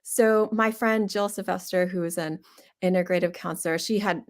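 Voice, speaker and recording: Woman gently explaining a mindfulness exercise. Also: lowish voice, fairly even intonation, normal speed. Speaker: doctor and show host.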